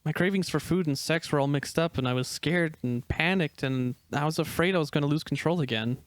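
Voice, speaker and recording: A man acts out a young male gray wolf in an audio drama reading. He is earnest and gentle, reflective and shy. His voice sits a little high for a man, recorded dry and close. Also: brisk, no echo, natural voice. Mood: earnest, confessional.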